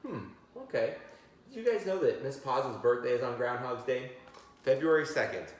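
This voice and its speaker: A man's voice: medium pitch, very animated, normal speed. A middle-aged man hosting a casual children's read-aloud, chatting to his young listeners with asides. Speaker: read-aloud host, teacher. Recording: very echoey, roomy sound.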